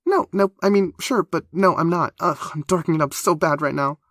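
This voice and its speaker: A woman's voice: medium pitch, expressive, a little fast, dry recording, clean studio sound, voice pushed up, natural voice. A man reading a story, voicing a bubbly, nervous young woman who gushes and trips over her words. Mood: excited, flustered. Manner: gushing, flustered, loud.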